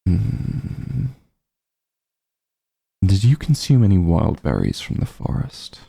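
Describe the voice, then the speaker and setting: An ancient vampire lord, acted by a man, haughty and possessive, with a very dark, low voice. He speaks in slow, measured commands with a gravelly creak, as in an intimate fantasy ASMR drama. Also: huge pitch swings, exaggerated voice. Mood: probing, menacing. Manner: imperious, disdainful, measured and commanding. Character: haughty centuries-old male vampire lord, cold, possessive. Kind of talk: ASMR roleplay, scripted dramatic monologue.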